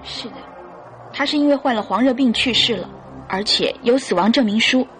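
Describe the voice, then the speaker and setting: An actress as an earnest mother, higher-pitched voice. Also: fairly bright tone, faint music behind, close to an everyday voice. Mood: confessing, sorrowful. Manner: earnest, pleading, deliberate. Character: earnest, devoted mother and wife confessing, resolute. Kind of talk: classic Mandarin radio drama acting.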